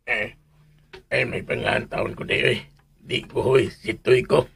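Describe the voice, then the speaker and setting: A man's voice: medium pitch, steady tone, unhurried, creaky, fairly bright tone, breathy edge, full character voice. A man playing a simple, put-upon, grumbling helper in a comic radio drama, with hesitant, muttered interjections.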